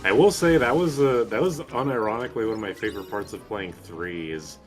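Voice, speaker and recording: A low-voiced man chats through a gaming session in a loose, joking way. His casual commentary sits over clearly audible game music. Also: a little fast. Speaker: co-commentator on a gameplay video. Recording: video game music behind.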